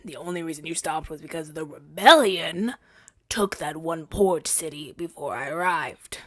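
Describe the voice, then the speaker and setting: A man's voice: a little high, moderate pace, a bit bright, no echo, clean studio sound. A man playing an earnest, defensive hero of a fantasy rebellion in an audio roleplay, arguing in a conversational, stammering, exasperated way. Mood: incredulous, arguing. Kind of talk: ASMR-style audio roleplay acting.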